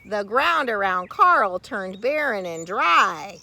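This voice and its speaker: A woman reading a children's story aloud expressively, with theatrical, deliberate phrasing and dramatic pauses. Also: medium pitch, very animated, sharp tone, no echo. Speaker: storybook reader.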